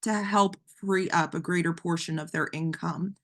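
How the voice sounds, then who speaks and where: A woman gives a training webinar over a call, explaining things patiently in a somewhat low voice. She is a bit hoarse from losing her voice. Her talk is loose and conversational, full of ums and restarted phrases. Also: fairly even intonation, moderate pace, fairly bright tone. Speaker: webinar presenter.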